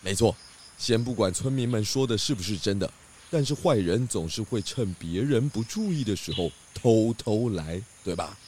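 An actor playing a calm, clever pig detective in a children's radio drama, patient and instructive. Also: male voice, on the low side, normal speed, slight background noise, close to an everyday voice.

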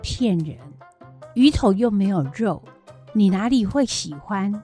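A woman playing a kindly grandmother in a children's educational radio drama, with a husky, plain-spoken delivery. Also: mid-range voice, animated, a little slow, mellow, soft background music. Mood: mock scolding. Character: kindly grandmother raising her grandchildren, fond of fish heads.

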